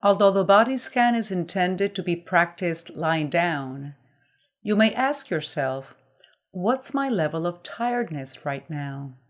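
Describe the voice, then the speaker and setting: A woman leading a guided body scan meditation as a mindfulness guide, giving gentle instructions in measured phrases with pauses. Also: lowish voice.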